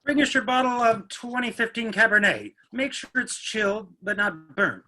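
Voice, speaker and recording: A man's voice: sits high, lively intonation, normal speed, bright, forceful tone, exaggerated voice, poor audio. A man playing a dry, commanding middle-aged father in a play reading, sardonic in manner. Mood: brisk, assured. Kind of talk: readers theatre play reading.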